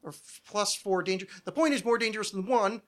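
A man's voice: high-pitched, a little fast. A man hosting a podcast, chatting casually in an opinionated, nerdy, swearing rant. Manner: ranting, profane, nerdy. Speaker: podcast host.

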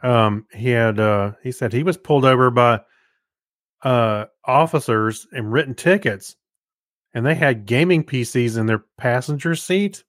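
American guy cohosting a podcast, medium pitch, casual chat, no room echo. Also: unhurried, fairly bright tone, studio quality. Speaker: podcast co-host.

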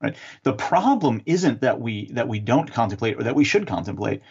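A man answering interview questions as an author and theologian, explaining in a measured, teacherly way with some fillers. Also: medium pitch, sing-song, a little mellow, slightly rough, highs cut off.

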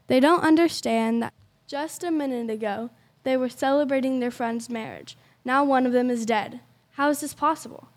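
Slightly high-voiced woman narrator. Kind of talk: scripted story narration.

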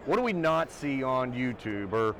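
A man's voice: medium pitch, big pitch swings, normal speed, fairly bright tone, a little hiss or hum. A man hosting a podcast interview, rambling through his questions and swearing casually. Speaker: podcast host. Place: trade show booth, outdoors.

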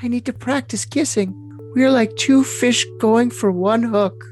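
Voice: medium pitch, unhurried, a little mellow, faint music behind, close to an everyday voice. Performer acting out young woman in love, gushing, theatrical, submissive, slightly musical backdrop. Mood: earnest. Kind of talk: overly dramatic reading of text messages.

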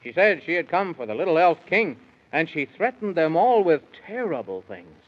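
A fairly high-pitched male narrator tells a children's tale in rhyme. His delivery is theatrical and sing-song, as in 1930s radio, and the old recording sounds dull. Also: very animated, normal speed.

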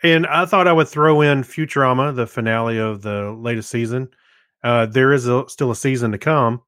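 A man's voice: mid-range voice, moderate pace, a bit bright, dry recording, studio quality. A man co-hosting a podcast, chatting in an easygoing, informal way. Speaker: podcast co-host. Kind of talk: casual chat.

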